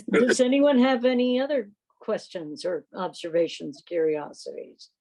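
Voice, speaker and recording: A woman's voice: on the high side, slow, a little mellow, poor audio. A woman casually moderating a club meeting as host over a video call.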